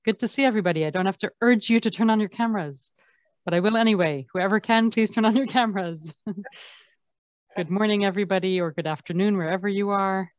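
A woman hosts an online course in an informal way over a video call. Her voice sits at a medium pitch, with dry, slightly dull sound. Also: brisk, no echo. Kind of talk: informal hosting of an online class. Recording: muffled video call audio.

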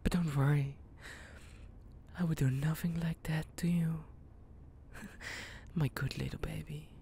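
Guy acting a creepy possessive psycho kidnapper, audio roleplay, slow doting whispery talk, giggly, bit of background noise. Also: a little high, fairly even intonation, creaky, dark tone. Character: psychotic possessive young male kidnapper, obsessively doting, menacing.

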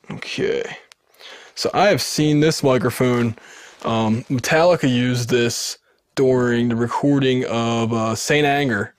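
A man's voice: mid-range voice, dry recording, clean studio sound. A man in his thirties with a sibilant voice, casually showing his gear to the camera in a small wood-paneled room, often restarting his sentences. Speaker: home recording video host. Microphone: studio dynamic mic off camera.

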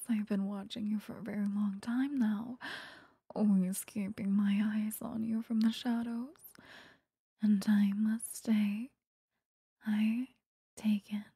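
Woman acting as possessive female vampire, whispered ASMR roleplay, extremely breathy, intimate, seductive, dry close-mic sound. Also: medium pitch, mellow, no room sound, heavily acted. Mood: adoring, obsessive. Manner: slow, intimate, lingering delivery. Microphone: close microphone.

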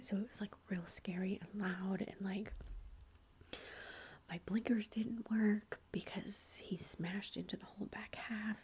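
Woman, thirties, whispered chatty storytelling, flat delivery, faint background hiss. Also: mid-range voice. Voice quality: whispering throughout. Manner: chatty, many fillers like "like". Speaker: vlogger.

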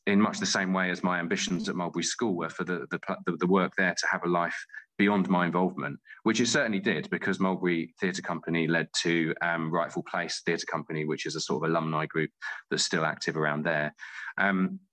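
A man's voice: sits low, fairly even intonation, a little fast, fairly bright tone. A middle-aged man chatting in an interview as a guest, often rephrasing as he thinks aloud. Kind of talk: casual interview talk.

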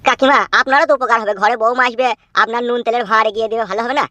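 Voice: mid-range voice, fairly even intonation, very fast, sharp tone, dry recording, close to an everyday voice. A performer voicing a pushy, loyal young male friend in a comedy cartoon, coaxing and insistent like a schemer. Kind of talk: animated comedy cartoon dialogue.

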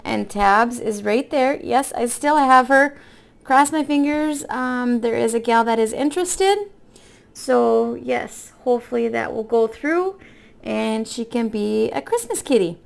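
Hoarse, creaky-voiced woman chatting. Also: on the high side, a little slow. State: hoarse from illness with a cough. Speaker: craft vlog host. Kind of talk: casual chatty unboxing. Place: indoor room at a table.

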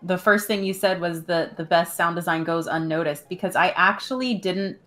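Lower-pitched woman chatting as co-host. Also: moderate pace, a bit bright. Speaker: podcast co-host. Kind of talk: casual discussion.

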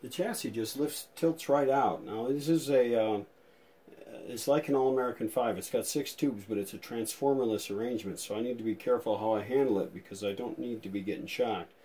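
A man's voice: mid-range voice, fairly quick, warm tone. A man giving a casual hands-on demonstration as a vintage radio restorer, thinking aloud in an informal, tentative way.

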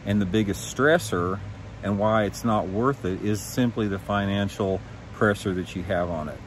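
A man's voice: lowish voice, slight background noise. A man in his fifties talking frankly and emphatically to camera, giving candid opinions like an experienced professional. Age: 50s. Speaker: professional angler and vlog host. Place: suburban backyard, outdoors.

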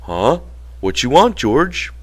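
A man voicing a big, gentle, simple-minded man in a storybook reading, with childlike, pleading phrasing. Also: medium pitch, big pitch swings, slow pace, voice pushed up. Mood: innocent, evasive.

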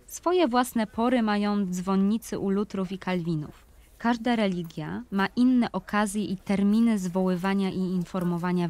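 A woman narrates literary prose for an audio play. Her voice is medium in pitch, and she tells it with composure and clear phrasing. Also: darkish tone.

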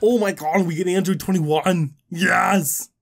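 A man's voice: sits high, steady tone, measured pace, gravelly. A man commentating on a game he plays, exclamatory and thinking aloud. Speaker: gaming video host. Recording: game music behind the voice.

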